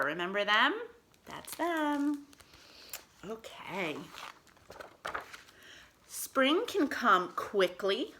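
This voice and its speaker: A woman reading aloud to young children as a storytime host, expressive and sing-song, speaking to them directly with questions and encouragement. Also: on the high side, a little hiss or hum.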